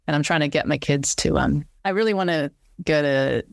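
A woman's voice: sits low, a little fast, vocal fry, no room sound, clean studio sound. A middle-aged woman, a cinematographer, talking casually as a podcast guest, restarting her sentences often. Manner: chatty, many restarts and fillers. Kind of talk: casual interview chat.

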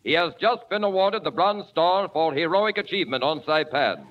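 A man's voice: on the high side, animated, moderate pace. A man with an American accent reading a scripted announcement in formal 1940s radio style. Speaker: commercial announcer. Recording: dull 1940s radio recording.

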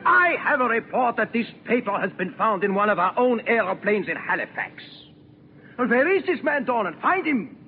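An actor playing a ruthless, imperious Nazi occupation officer in a 1940s radio drama, threatening and theatrical. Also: male voice, high voice, sing-song, moderate pace, faint music behind. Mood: frantic rage. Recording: tinny, noisy, echoing 1940s radio recording.

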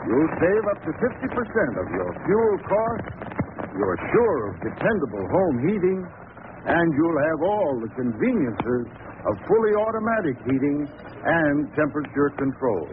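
Male 1940s radio spokesman, fairly high voice with animated intonation, scripted pitch, tinny vintage recording. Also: moderate pace, background noise. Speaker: commercial spokesman, heating expert.

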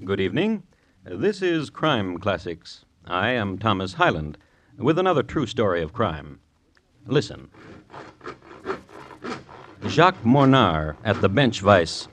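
Dry, wry radio crime storyteller. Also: male voice, on the low side, fairly bright tone, slight background noise. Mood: wry, ominous. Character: droll, morbid male crime connoisseur and storyteller. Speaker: host and narrator. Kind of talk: 1950s radio scripted narration.

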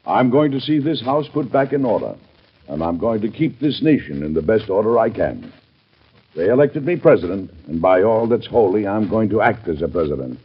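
An actor playing an earnest, resolute president with formal, emphatic diction in a vintage radio drama. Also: male voice, on the low side, big pitch swings, moderate pace, slightly rough, exaggerated voice. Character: earnest, resolute male president of the United States. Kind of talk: 1940s radio drama acting. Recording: dull old radio recording, faint music.

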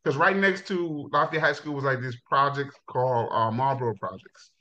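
A middle-aged man from Jamaica, a high school football coach, telling his life story in a relaxed interview with laughs and restarts. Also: moderate pace. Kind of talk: casual interview. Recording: dull lo-fi sound.

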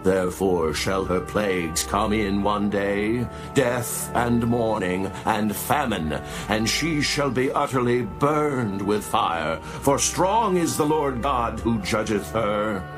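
A man's voice: on the low side, slow pace, few pauses, music in the background. A man playing a solemn heavenly voice in a dramatized scripture reading, pronouncing judgment and lament in a declamatory way. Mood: stern judgment.

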